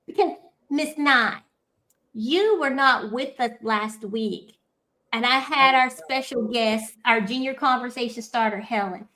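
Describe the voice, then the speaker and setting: A woman in her forties hosts a relaxed online show from home. Her voice sits high and bright. She talks slowly, playful and full of banter over a video call. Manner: lively, playful, Southern-style "girl" and "y'all" banter. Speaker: podcast host. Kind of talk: casual livestream chat.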